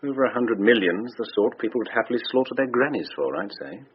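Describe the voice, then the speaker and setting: An actor playing a wry, eager British financial expert in a radio drama, explaining things with colloquial dry humour. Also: male voice, mid-range voice, fast talker, breathy edge, close to an everyday voice. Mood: keen, wry. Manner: colloquial, drily humorous explaining. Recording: dull, hissy radio drama recording.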